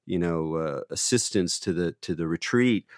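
A man with a booming voice talking in a rambling, thoughtful way, full of fillers and restarts. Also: moderate pace, dry recording, clean studio sound. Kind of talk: thoughtful conversational talk.

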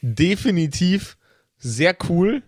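Man, slightly high pitch, dry recording, game master, casual unscripted podcast talk. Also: sing-song, takes it slowly, husky, no room sound.

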